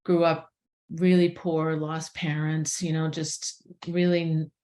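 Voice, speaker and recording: A woman's voice: sits low, flat delivery, slow pace, darkish tone. A woman in her sixties from inner-city Boston, a guest on a podcast, talking in a thoughtful, searching way with restarts and light laughs. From inner-city Boston, USA. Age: 60s. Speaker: podcast guest, herbalist and plant spirit medicine practitioner. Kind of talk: casual reflective conversation.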